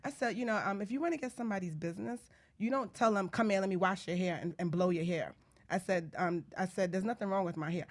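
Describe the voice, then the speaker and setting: Fast-talking New woman hosting a show. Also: mid-range voice. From Bronx, New York. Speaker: radio show host. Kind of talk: casual chat and storytelling.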